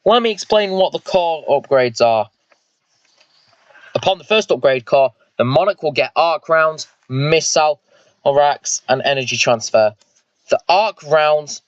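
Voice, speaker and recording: A man's voice: mid-range voice, highs cut off, natural voice. A man voicing an eager, vengeful squad leader and mech pilot in an amateur sci-fi story, informal and explanatory. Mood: instructive.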